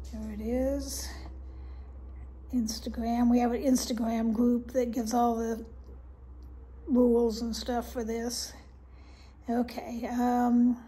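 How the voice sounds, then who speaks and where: An older woman casually showing off her shopping haul at home, chatty and rambling with soft laughs and many ums, a little congested. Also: a little high. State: slightly congested, clearing throat. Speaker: haul vlogger. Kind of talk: casual haul show-and-tell. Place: living room at home, indoors.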